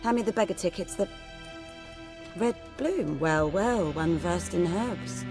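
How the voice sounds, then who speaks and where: An actress playing a calm, wry female herbalist in a video game, speaking unhurriedly. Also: lowish voice, a little slow. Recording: game music behind.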